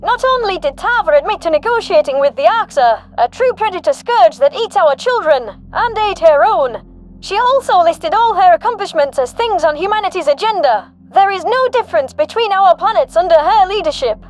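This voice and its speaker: A woman's voice: very high voice, expressive, fairly quick. A woman playing an indignant alien political ad narrator, with an accusatory, rhetorical delivery. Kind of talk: scripted political attack ad.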